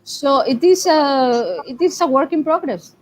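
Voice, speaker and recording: A woman with a fairly high voice speaks as an interview guest, earnest and measured in her answers. Faint background noise sits under her voice. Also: animated. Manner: earnest, measured, code-switching. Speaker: guest, linguistics professor.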